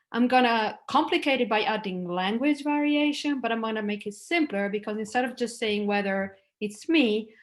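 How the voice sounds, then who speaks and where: A middle-aged woman, a native Italian speaker, giving an academic talk over a video call, conversational and prone to restarts. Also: medium pitch. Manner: conversational, with frequent restarts and "like" fillers. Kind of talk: conference talk over slides.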